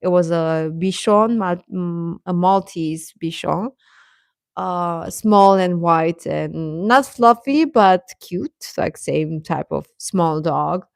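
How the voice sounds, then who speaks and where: A woman's voice: lowish voice, slow pace, creaky, no echo, studio quality. A young adult woman hosting a live stream, chatting informally with her viewers and often chuckling as she talks.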